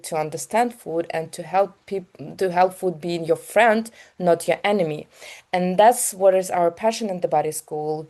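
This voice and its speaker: Mellow-voiced Ukrainian woman, earnest. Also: on the low side. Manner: earnest, halting phrasing in non-native English. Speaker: guest, health business founder. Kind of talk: conversational interview answers.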